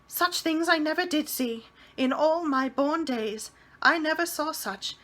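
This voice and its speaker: A woman's voice: unhurried, fairly bright tone. A woman voicing a prim, fussy older landlady who is easily scandalized and talks indignantly. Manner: indignant, prim, shrill when alarmed.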